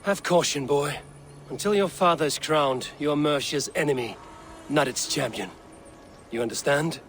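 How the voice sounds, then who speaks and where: An actor playing a steady, authoritative Viking warrior who speaks tersely in a video game. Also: male voice, a little high, measured pace. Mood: stern. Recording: faint outdoor noise behind.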